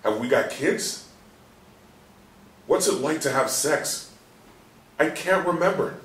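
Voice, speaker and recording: An actor playing an angry, frustrated hospital patient in a stage play reading, anguished, demanding and given to swearing. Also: male voice, a little high, animated, takes it slowly, fairly bright tone. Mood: frustrated, pleading. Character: angry, frustrated male hospital patient trapped after a coma. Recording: roomy distant recording with faint noise.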